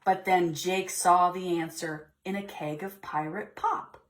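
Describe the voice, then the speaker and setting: Lady doing preschool storytime, reads slow and theatrical like a picture book. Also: lowish voice, fairly even intonation. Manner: theatrical, deliberate delivery. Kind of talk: expressive storybook read-aloud for young children.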